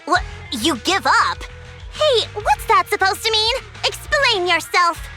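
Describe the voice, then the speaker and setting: A bold young female gang fighter in a video game. She is blunt and excitable, with a very high, bright voice that leaps around in pitch. Music runs behind her. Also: takes it slowly, heavily acted. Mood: indignant. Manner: forceful, blunt.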